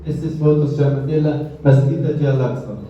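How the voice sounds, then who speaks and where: A man with a heavy Arabic accent lectures in a halting, broken way, often restarting his sentences. His slightly high voice comes from far off in a very echoey room, and the recording sounds dull and muffled. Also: steady tone, warm tone, slight background noise. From Jordan, Palestinian family. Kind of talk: classroom lecture with audience questions. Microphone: distant microphone.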